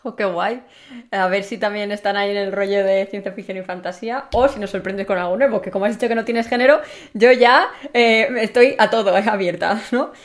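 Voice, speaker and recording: A woman's voice: medium pitch, fairly quick. A woman hosting a podcast, chatting casually and enthusiastically in informal slang as she interviews a writer. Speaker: podcast host.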